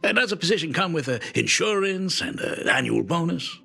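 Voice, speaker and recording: A man's voice: a little high, big pitch swings, brisk, creaky, sharp tone, breathy edge, faint music behind, full character voice. An actor playing a middle-aged doting father in a video game, self-deprecating, polite and hesitant in an emotive way. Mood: awkward, nosy.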